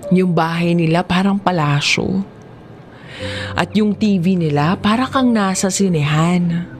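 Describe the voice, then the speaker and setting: Slow-paced Filipino drama storyteller over music. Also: medium pitch. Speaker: narrator. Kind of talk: scripted first-person drama audiobook narration. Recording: music behind the voice. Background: background music.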